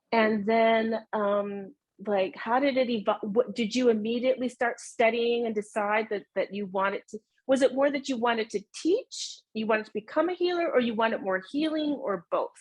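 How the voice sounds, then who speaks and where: A woman's voice: a little high, normal speed. A woman interviewing a guest, who encourages her with frequent murmured agreement and asks loose, rambling questions. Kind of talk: friendly interview chat.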